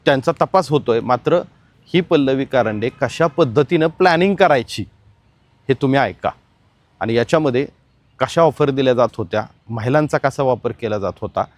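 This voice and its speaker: A man's voice: medium pitch, lively intonation, moderate pace, dry recording. A man presenting explanatory crime news commentary, emphatic and speaking straight to the audience.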